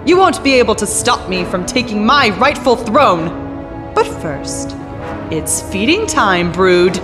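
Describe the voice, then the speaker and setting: A woman's voice: mid-range voice, very animated, slow, long reverb tail, loud music behind the voice. Woman playing a haughty villainous alien princess. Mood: gloating. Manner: haughty, commanding.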